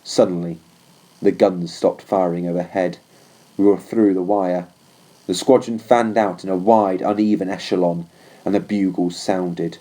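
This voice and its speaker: A man narrating a storybook as a loyal, earnest army horse in a measured way. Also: low-pitched, fairly even intonation, warm tone, low-quality recording. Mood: sombre, earnest.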